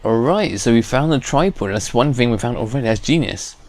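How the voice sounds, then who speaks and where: A man's voice: mid-range voice, expressive, brisk, darkish tone. A man giving casual let's play commentary, thinking aloud and often repeating words as he goes. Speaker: gamer.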